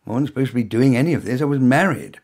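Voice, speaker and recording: Gravelly, husky man reading wryly. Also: mid-range voice, very fast, a little mellow. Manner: wry, self-deprecating, hesitant. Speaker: author reading his own story. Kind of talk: scripted first-person story narration.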